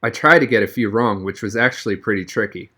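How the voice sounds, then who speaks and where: A man's voice: fairly low pitch, fast talker, bright, forceful tone. A man reading a children's novel aloud as a first-person audiobook narrator.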